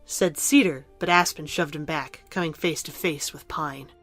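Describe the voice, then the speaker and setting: Low-voiced woman narrating a story. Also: normal speed, fairly bright tone, soft background music. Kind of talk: scripted story narration for audio drama.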